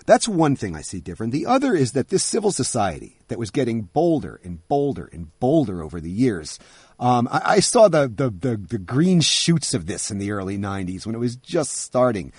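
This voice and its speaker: A man's voice: mid-range voice, sing-song, moderate pace, a little mellow, slightly rough, dry recording. A middle-aged man interviewing a guest in a thoughtful conversational way, often restarting his phrases.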